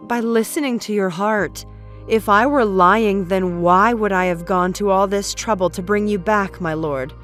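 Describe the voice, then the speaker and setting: A woman acting a calm, devoted ancient woman in an audio drama, earnest toward her king. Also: mid-range voice, measured pace, faint music behind, close to an everyday voice. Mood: earnest, pleading.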